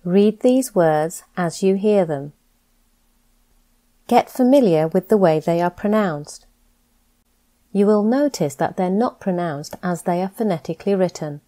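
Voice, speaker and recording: Woman, pronunciation teacher, lowish voice, lively swooping intonation, careful enunciation, scripted lesson reading. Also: measured pace, pauses often. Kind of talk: scripted lesson narration, reading words for practice.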